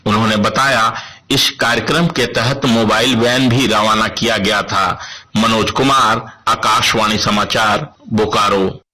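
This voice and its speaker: A male radio correspondent speaks in a lowish voice with a bright, forceful edge. He reads his district report formally from a script. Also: rough recording.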